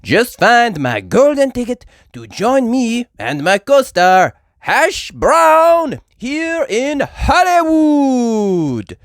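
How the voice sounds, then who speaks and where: A woman voicing a cheerful male potato superhero in a children's storybook, announcing grandly with drawn-out words. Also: on the high side, very animated, no room sound, studio quality, pitched above the performer's own voice, heavily acted. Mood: showy.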